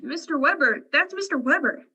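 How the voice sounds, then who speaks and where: An actress playing a polite, fussy apartment manager in a theatrical 1950s radio drama style. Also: female voice, high-pitched, expressive, normal speed, sharp tone. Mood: alarmed.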